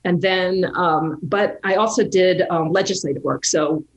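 A woman with a somewhat low voice talks as a guest in a relaxed interview. She speaks thoughtfully and pauses on plenty of fillers. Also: poor audio. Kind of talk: casual interview conversation.

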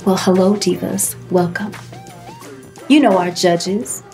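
A self-important female modelling show host , played by a woman, speaks in a lowish voice with grand, dramatic pauses. It is a reality show parody, with music behind her. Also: darkish tone. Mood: grand, solemn. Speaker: host and head judge.

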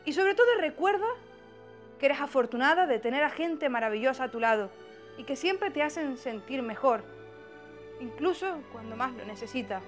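An actress plays a self-pitying, sarcastic young woman with a Spanish accent from Spain. Her high voice is very animated, full of comic drama and grumbling, with music playing underneath. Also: moderate pace. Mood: wry, dry. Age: young adult. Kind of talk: scripted comedy monologue.

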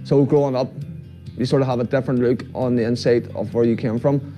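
A young man with a Belfast accent gives a personal testimonial. His mid-pitched voice moves very little, and guitar music plays clearly behind it. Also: fairly even intonation, brisk, warm tone, dull audio. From South Belfast. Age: young adult. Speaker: programme participant. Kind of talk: promotional video testimonial.

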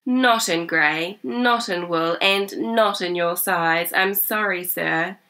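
Woman as a courteous, smooth-talking salesman. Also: on the low side, takes it slowly, sharp tone, full character voice. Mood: apologetic.

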